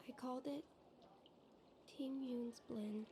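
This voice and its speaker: A woman's voice: high voice, fairly even intonation, takes it slowly, warm tone, a little hiss or hum. A woman voicing an earnest, cheerful young female tea house owner in a video game, polite and heartfelt. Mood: tender, wistful.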